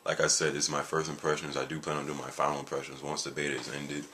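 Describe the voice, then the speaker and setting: A tired man with an extremely low, deep voice talks loosely to camera, full of fillers, restarts and jokes. His tone is bright and forceful despite the depth. A faint hiss sits under his voice. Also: monotone, quick pace. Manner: rambling and jokey, with many fillers and restarts. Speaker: gaming video creator. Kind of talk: casual vlog chat.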